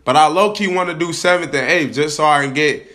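A young man hosting his own podcast, hyped and slangy, hoarse from a cold. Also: on the high side, a little fast, fairly bright tone. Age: young adult. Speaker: podcast host. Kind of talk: casual vlog-style podcast talk. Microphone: camera.

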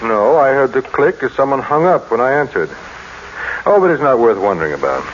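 Male radio-drama husband played by an actor: measured, polite, suspicious, very expressive, on a noisy vintage recording. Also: mid-range voice, muffled recording, natural voice. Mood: puzzled. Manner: measured, pointedly polite, insistent. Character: self-made businessman husband, outwardly genial, quietly suspicious. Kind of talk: 1940s radio drama acting.

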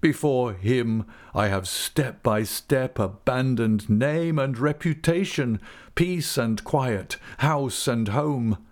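A man voicing a distraught, self-loathing older gentleman in a story, impassioned and lamenting, with drawn-out words. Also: mid-range voice, pitched above the performer's own voice, lightly acted. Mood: despairing.

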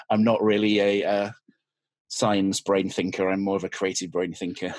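A man's voice: sits low, level tone, measured pace, dry recording. A man, a teacher, chatting as a podcast guest in a wry, self-deprecating way. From United Kingdom. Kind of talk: casual interview chat.

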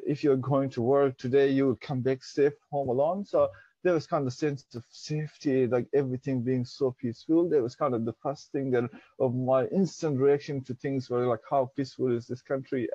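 A man's voice: medium pitch, steady tone, moderate pace, warm tone, breathy edge, no room sound, muffled recording. A young man from Pakistan whose first language is Dari, telling his story as an interview guest, hesitant with many fillers. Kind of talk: reflective personal storytelling.

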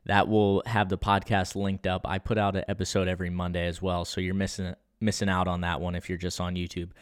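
A young man talks through a solo podcast episode in a flat, unexcited, conversational way, often restarting his sentences. His voice sits low and comes across smooth and clear. The sound is close and dry, with no room around it. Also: fairly quick, dry recording. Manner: monotone, low-key and unexcited. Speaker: podcast host.

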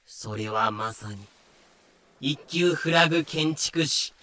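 A man's voice: a little high, takes it slowly, pushed and bright. A man giving scripted, comedic narration for a pirate audio drama.

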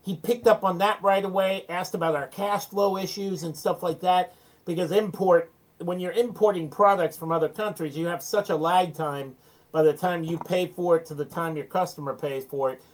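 A man's voice: high voice, steady tone, normal speed. An American man, a small business owner, talking casually as an interview guest in a chatty, anecdotal, self-deprecating way.

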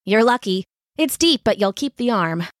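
Woman voicing a brisk, practical farm mother. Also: mid-range voice, lively intonation, no echo, studio quality, natural voice. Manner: brisk, matter-of-fact. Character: practical, calm farm mother tending a wound.

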